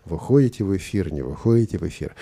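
A man hosting a live studio talk show, lively and joking with his co-host. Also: low-pitched, quick pace, slightly rough.

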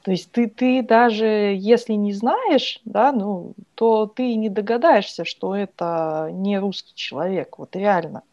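A woman joining a discussion who recalls her own experience in a lively, emphatic way. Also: medium pitch, unhurried, few pauses, no room sound. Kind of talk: conversational discussion. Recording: dry, dull lo-fi sound.

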